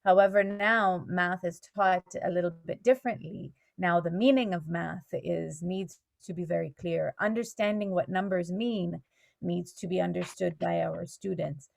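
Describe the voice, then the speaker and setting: Gravelly-voiced teacher woman, patient. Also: fairly low pitch, fairly even intonation, normal speed. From Canada. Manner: patient, explains things step by step. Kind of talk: answering student questions on a video call. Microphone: video call.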